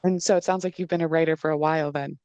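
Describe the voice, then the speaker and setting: A woman's voice: deep voice, fairly even intonation, rapid-fire, a little mellow, smooth, dry recording. A woman in her thirties asking casual interview questions on a video call. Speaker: interviewer, podcast producer. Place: indoor room, video call. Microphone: computer video call, wearing headphones.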